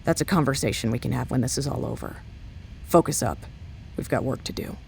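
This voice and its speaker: A woman's voice: very deep voice, fairly quick, gravelly, darkish tone. A tough, businesslike research chief in an audio drama. She is curt and guarded, with faint noise in the background. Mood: guarded, weary.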